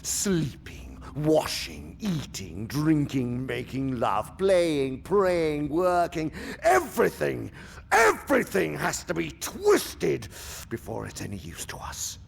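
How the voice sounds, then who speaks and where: A man playing an imperious senior demon in a theatrical radio drama, venomous and contemptuous, with a gravelly voice and grandiose, sneering delivery. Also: on the high side, very animated, slow pace, heavily acted. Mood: cold disdain, then exasperated outrage. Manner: grandiose, sneering, savoring words, swinging from hiss to roar.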